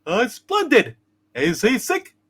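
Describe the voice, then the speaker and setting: A man's voice: very high voice, huge pitch swings, slow, a bit bright, slightly rough, exaggerated voice. A man voicing an eager, fretful apprentice angel in a cartoon-bear voice, hesitant and deferential in a comic radio play. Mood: nervous, eager.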